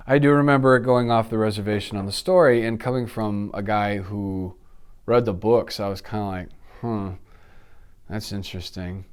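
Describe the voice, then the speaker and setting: A man in his thirties chatting casually as an interview guest, telling stories in an animated way and acting out reactions. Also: mid-range voice, smooth. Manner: animated storytelling, acts out reactions and sound effects. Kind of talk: casual chat about films and games. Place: indoor office studio.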